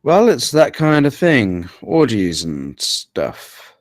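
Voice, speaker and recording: A man's voice: mid-range voice, huge pitch swings, slow pace, no room sound, clean studio sound. A man reading the part of a joking, laid-back workmate in a film script, wry and casual. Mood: teasing. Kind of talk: film script table read, naturalistic dialogue.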